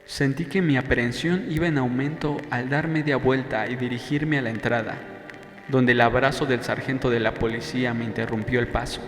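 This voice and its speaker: A man's voice: mid-range voice, fairly even intonation, moderate pace, soft background music. A man narrating a novel in a scripted audiobook style, with a reverberant sound and faint noise behind. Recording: echoey, long reverb, faint background noise.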